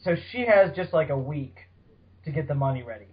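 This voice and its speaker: Higher-voiced man, dull lo-fi podcast sound. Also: expressive, a little fast. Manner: teasing, playfully profane. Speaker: podcast host. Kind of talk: casual panel chat about fan fiction. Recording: dull, lo-fi audio.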